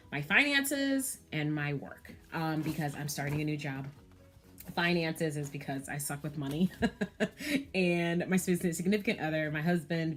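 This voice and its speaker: A woman in her thirties chatting candidly to camera about planning, with frequent ums and you-knows. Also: deep voice. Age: 30s. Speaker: planner vlogger. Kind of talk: casual chat to camera.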